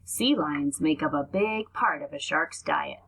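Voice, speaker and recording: A woman's voice: low-pitched, animated, measured pace, fairly bright tone, breathy edge. A woman reading aloud to young children like a teacher, explaining things and adding asides as she goes.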